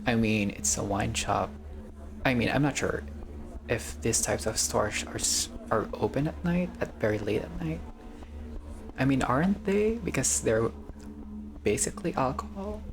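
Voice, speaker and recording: Male let's-player, medium pitch, reads game prose aloud and chats casually between passages. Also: lively intonation, a little slow, vocal fry. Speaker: gamer narrating a visual novel playthrough. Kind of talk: reading game text aloud with casual commentary.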